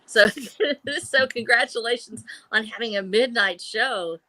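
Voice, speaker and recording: A woman's voice: on the high side, unhurried, bright, forceful tone, no echo, rough recording. A woman in her early sixties chatting casually and effusively as a guest on a panel show. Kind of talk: casual chat.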